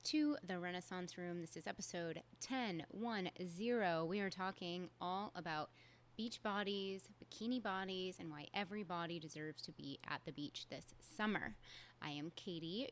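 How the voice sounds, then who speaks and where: A woman's voice: lowish voice, slight background noise. A young adult woman, hosting a solo podcast in a candid, conversational way with casual fillers. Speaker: podcast host.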